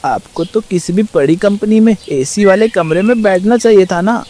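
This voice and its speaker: A woman's voice: medium pitch, normal speed, dark tone, voice pushed down, close to an everyday voice. A woman voicing a young student customer who asks curious questions in a storybook cartoon.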